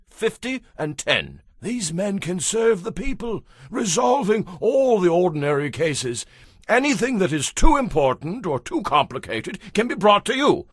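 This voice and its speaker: A man's voice: a little high, no echo, studio quality. An actor playing an earnest older priest and father-in-law who exhorts in a declamatory way in a dramatized audio Bible.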